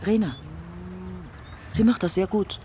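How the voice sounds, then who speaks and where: A woman's voice: medium pitch, unhurried, warm tone, slight background noise, natural voice. An actress playing an ambitious, prickly middle-aged female politician in a radio crime drama, defensive and emphatic. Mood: bitter, ambitious.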